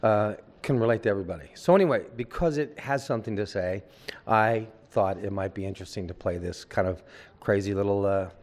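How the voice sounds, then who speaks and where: A man's voice: fairly low pitch, a little fast, a little mellow. An older man, a veteran actor, chatting at length in an on-set interview, rambling with many asides.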